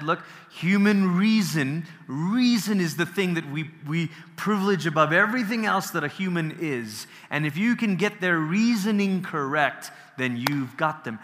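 A man from Malaysia leads a relaxed teaching workshop, his voice bright and a little higher than most men's. He keeps checking in with his listeners as he goes, and his words ring out with a long reverb from a big hall. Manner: conversational, asks the audience questions, restarts, laughs easily. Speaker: workshop speaker. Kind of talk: casual lecture on theology.

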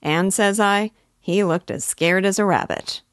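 A woman's voice: medium pitch, a little slow, no room sound, studio quality, voice pushed up, lightly acted. A woman voicing an old male English village busybody with a rural dialect, self-important and neighborly, in a storybook reading. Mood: garrulous, opinionated. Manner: rambling, opinionated, advice-giving.

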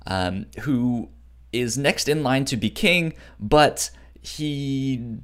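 A podcast co-host in casual conversation. The delivery is unhurried and halting, with sentences that get rephrased along the way, while the pitch swings in an animated way. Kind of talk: casual book discussion chat.

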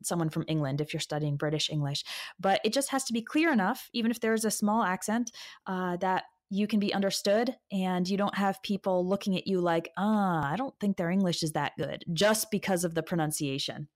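A woman's voice: mid-range voice, fairly quick. Woman chatting in an interview. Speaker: online English teacher. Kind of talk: conversational interview.

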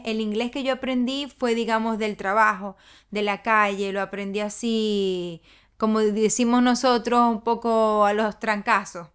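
Chatty Venezuelan woman, smooth high voice. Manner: chatty, with frequent restarts and repeated words. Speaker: guest. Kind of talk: casual interview chat. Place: London.

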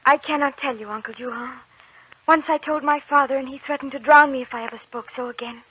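A woman's voice: high-pitched, steady tone, fast, breathy edge. An actress playing Joan of Arc as an earnest, devout peasant girl in an old radio drama. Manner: earnest, reverent. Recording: dull old radio recording.

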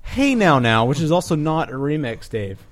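Crude, high-voiced male podcast host, animated. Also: normal speed. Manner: crude, swearing, joking. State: tipsy. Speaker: podcast co-host who plays the music. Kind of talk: loose, rowdy casual chat. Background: music tracks played in the room.

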